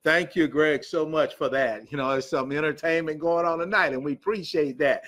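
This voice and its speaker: Higher-voiced middle-aged man hosting live. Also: moderate pace, a bit bright. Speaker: live show host.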